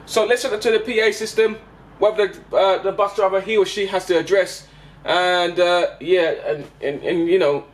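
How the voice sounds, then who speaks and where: A man, vlogging casually as a bus driver, halting and repeating himself with frequent check-in tags, recorded on a handheld camera inside a bus. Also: high voice. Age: 20s. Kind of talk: casual explanatory vlog addressed to passengers. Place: inside a parked double-decker bus. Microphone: handheld camera at arm's length. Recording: background vehicle noise inside a bus.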